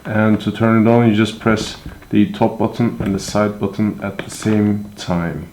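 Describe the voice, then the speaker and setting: A man's voice: lowish voice, level tone, unhurried, a little mellow. A man giving a casual hands-on product walkthrough, talking informally and offhand as he thinks aloud while handling the items. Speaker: product reviewer. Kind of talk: casual unboxing and hands-on demonstration. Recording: echoey room with handling clicks and noise.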